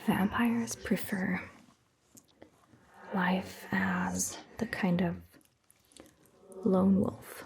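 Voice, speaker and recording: A woman plays a gentle, attentive female vampire doctor in an ASMR roleplay. The voice is mostly a breathy whisper with a crackly, fry-laden edge. Phrases come extremely slowly and carefully, over faint background noise. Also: medium pitch, fairly even intonation. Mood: calm, musing. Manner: gentle, slow, measured. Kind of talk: soft-spoken ASMR roleplay.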